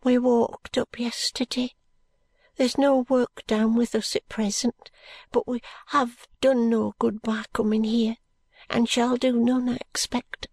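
A weary, poor young mother with a soft, plaintive, hushed way of speaking and rough country grammar, as a woman puts her on for a storybook reading. Her voice sits high above the reader's own, close and dry. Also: level tone, slow pace, dry recording. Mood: subdued.

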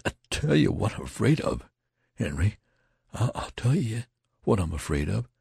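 A man voicing a dazed, fearful dying soldier, talking in a halting, shaky, repetitive way. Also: sits low, creaky, a little mellow, no room sound, studio quality. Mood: fearful, begging.

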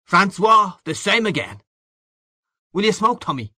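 A man's voice: mid-range voice, very animated, moderate pace, bright, forceful tone, dry recording, clean studio sound, voice pushed up. A man voicing a brash, worldly Irishman in an audiobook, boastful and hearty, patronising an old friend with emphatic delivery. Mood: hearty, pressing. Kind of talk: literary audiobook character dialogue.

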